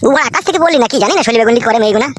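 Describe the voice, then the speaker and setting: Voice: mid-range voice, expressive, racing, dry recording, close to an everyday voice. A performer voicing a crude, boastful, bossy village husband in a comedy cartoon, with coarse bantering talk. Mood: coarse, irritated.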